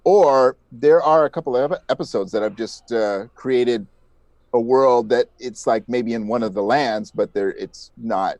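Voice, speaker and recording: A man's voice: mid-range voice, measured pace, darkish tone, no echo. A man talking casually as an interviewed podcast creator.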